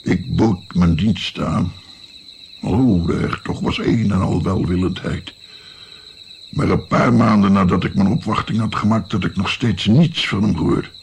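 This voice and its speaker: A man's voice: low voice, fairly even intonation, unhurried, vocal fry, slight background noise. An actor playing an older musician and physician, recalling his naive youth in a reflective, hesitant, wry way in a radio play.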